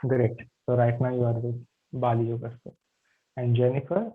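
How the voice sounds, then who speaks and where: A man's voice: medium pitch, level tone, moderate pace. A man with an Indian accent teaching an online yoga anatomy class, friendly and asking his students questions. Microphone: video call. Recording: tinny video call audio.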